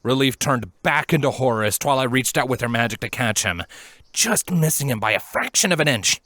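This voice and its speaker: A man narrating a scripted story in an expressive, dramatic manner. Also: mid-range voice, fairly quick, no echo. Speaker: fan fiction reader, narrator.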